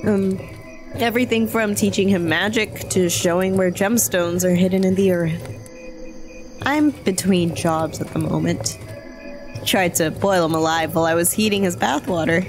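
Casting: actress as anxious enslaved djinn, fearful, weary, hesitant, audio fiction, music underneath. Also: female voice, on the low side, normal speed, lightly acted. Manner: nervous, hesitant.

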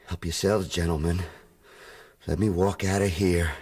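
An actor with an American accent playing a cocky, unrepentant crook who chuckles and drawls slang in a radio drama. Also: male voice, sits low, steady tone, normal speed, a little mellow, slightly rough. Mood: brash. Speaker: John Garrideb, counterfeiter's accomplice.

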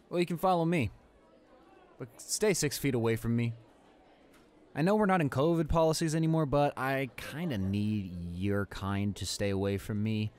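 A cocky young male school bully played by a man in an audio roleplay. His delivery is brash and halting, with a hidden softness under the swagger. His mid-pitched voice swings widely up and down. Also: natural voice. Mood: haughty. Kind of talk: dramatic audio roleplay, monologue.

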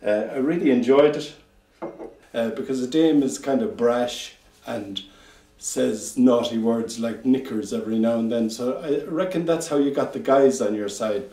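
An Irish man in his sixties reminisces in a mellow voice of middling pitch. The sound is roomy, with the mic a little way off. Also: normal speed. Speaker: former pantomime dame. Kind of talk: interview reminiscence. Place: home kitchen. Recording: roomy echo, slightly distant mic.

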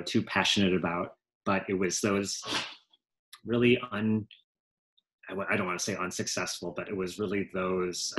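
A man's voice: low-pitched, a bit bright, poor audio. A man in his forties, a guest actor, chatting casually on a video call through a headset mic from a home room, often correcting himself mid-sentence. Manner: rambling, self-correcting, frequent asides. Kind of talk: casual interview.

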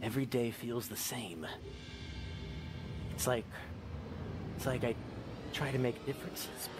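A man's voice: mid-range voice, measured pace, over loud music, close to an everyday voice. A man voicing a brooding, haunted hedgehog antihero with absurd sincerity in an improvised comedic fan dub. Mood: anguished, haunted.

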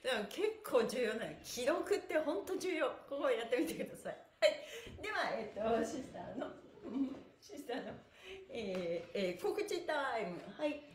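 A woman's voice: on the high side, sing-song, moderate pace. A middle-aged woman, an effusive, playful and encouraging stretch instructor chatting to her livestream viewers. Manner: effusive, encouraging, playful, frequent laughing exclamations. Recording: echoey bare room.

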